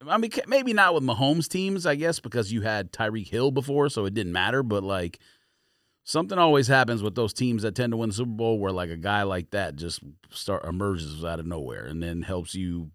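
Male podcast co-host, lowish pitch, varied inflection, informal explanatory sports talk, dry recording. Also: lively intonation, a little fast, fairly bright tone, no room sound. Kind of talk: casual sports chat.

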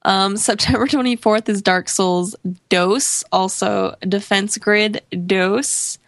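A woman's voice: mid-range voice, takes it slowly, no room sound. A sniffly woman co-hosting a casual gaming podcast, chatting and reading out lists in a playful, joking way. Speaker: podcast co-host reading game release dates.

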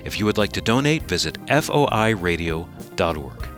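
Low-key male radio announcer over music. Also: sing-song, moderate pace, fairly bright tone. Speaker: radio program announcer and executive producer. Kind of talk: scripted radio outro announcing.

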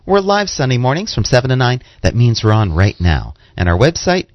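A man's voice: medium pitch, very animated, brisk, no echo. A man co-hosting a radio show, delivering scripted announcements and scripture readings. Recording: slightly dull, band-limited radio sound.

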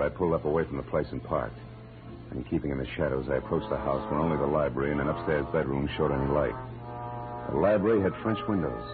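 Deep-voiced hard-boiled American private eye. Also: male voice. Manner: hard-boiled, clipped, sardonic. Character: tough, wisecracking male private detective. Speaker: lead actor and narrator. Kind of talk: 1940s-50s radio detective acting. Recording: muffled old radio recording with music.

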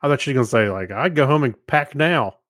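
A man's voice: medium pitch, expressive, quick pace, a bit bright, no echo, clean studio sound. A man co-hosting a podcast, chatting casually and voicing his own thoughts as he talks. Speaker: podcast co-host. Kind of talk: casual chat.

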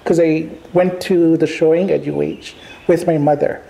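A woman from Hawaii whose first language is Hawaiian, interviewing in a relaxed, thoughtful way with frequent pauses and fillers. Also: low-pitched, gravelly, poor audio. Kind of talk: conversational interview.

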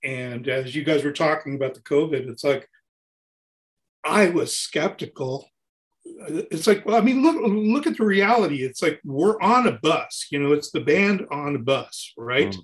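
A man's voice: on the high side, measured pace. A man in his sixties, a touring keyboard player, talking animatedly in a casual interview and often restarting his sentences. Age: 60s. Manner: animated, talks in long runs with restarts.

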